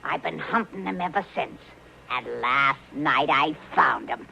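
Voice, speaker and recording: A woman's voice: low-pitched, lively intonation, unhurried, gravelly, sharp tone, heavily acted. An actress playing a bold, bossy, middle-aged frontier woman in a 1950s radio western, slinging folksy insults. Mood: indignant. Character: brash, fiery frontier woman who never backs down. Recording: old radio recording with background hiss.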